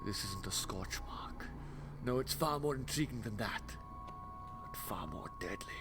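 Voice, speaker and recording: A man playing a wry, excitable, pedantic time-travelling alien adventurer in a fan audio drama. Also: on the low side, measured pace, over music. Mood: intrigued, grave.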